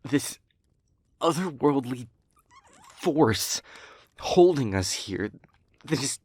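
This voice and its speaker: An anguished demon, acted by a man, speaks tenderly to a beloved human in an intimate audio drama. The voice is husky and breathy, often sinking toward a whisper. The delivery is slow and halting, with heavy emotional weight on the words. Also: medium pitch, dry recording. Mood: anguished, intense.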